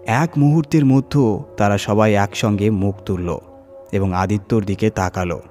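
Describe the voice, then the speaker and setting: Horror narrator and host: male, low-pitched, dramatic scripted reading over music. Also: normal speed, warm tone.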